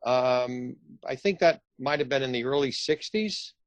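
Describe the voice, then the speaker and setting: A man's voice: mid-range voice, a little slow, no room sound, highs cut off. A man conducting a casual interview, feeling his way through questions in a conversational style. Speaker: interviewer, radio theatre company director. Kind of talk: casual, hesitant interview chat.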